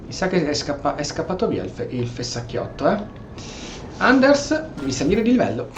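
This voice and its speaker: An Italian-accented man commentates casually on a role-playing game, with very lively pitch swings. He is halting and repeats words, recorded in an echoey room with faint noise. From Italy. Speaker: gameplay streamer.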